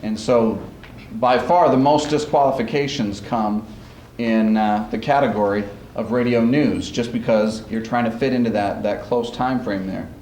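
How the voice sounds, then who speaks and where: A man's voice: fairly low pitch, normal speed, darkish tone. A man speaking as a coach and host, informally introducing an event to a live audience in a rambling, explanatory way. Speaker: speech coach hosting a student showcase. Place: large room at a school event. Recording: echoey, noisy archive recording of live event.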